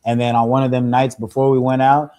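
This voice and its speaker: Young male rapper guest, relaxed storyteller, dark mellow voice, mid pitch. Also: fast. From Zimbabwe-born, raised in Seattle. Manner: laid-back, easygoing storytelling with slang. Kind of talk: casual interview chat.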